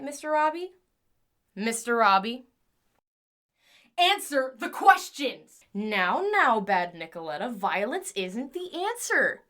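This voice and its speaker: Woman acting an excitable, high-voiced scheming lady inventor. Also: heavily acted. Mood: prim, coaxing. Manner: theatrical, talking to herself, swinging between moods. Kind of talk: audio drama monologue.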